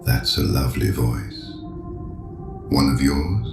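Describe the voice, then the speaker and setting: Creepy polite stranger in an audio drama, slow taunting gravelly talk, echoey noisy booth sound. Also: male voice, extremely low pitch, expressive, music in the background, exaggerated voice. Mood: sly, insinuating. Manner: slow, measured, insinuating, mock-courteous. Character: mysterious menacing male penitent, courteous, coolly taunting. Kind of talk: full-cast audio drama acting. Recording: roomy echo, clear background noise.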